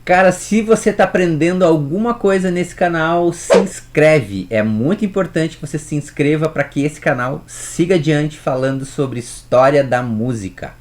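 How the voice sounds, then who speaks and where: A man's voice: a little high, normal speed, hardly any pauses, darkish tone. A man, teaching music history in a casual storytelling way and talking straight to the viewer. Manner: chatty, addresses viewer directly with rhetorical questions.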